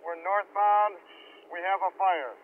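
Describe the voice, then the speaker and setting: Male firefighter, high voice, making radio dispatch calls, dull narrow lo-fi transmission. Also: fairly even intonation, unhurried. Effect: two-way radio.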